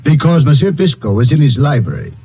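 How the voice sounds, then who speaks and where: Casting: a suspicious French inspector with a French accent, lively intonation, old-time radio play. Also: male voice, a little high, brisk. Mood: stern. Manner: formal, clipped, precise phrasing. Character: shrewd suspicious male French police inspector. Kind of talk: 1950s radio drama acting. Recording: dull lo-fi vintage radio recording.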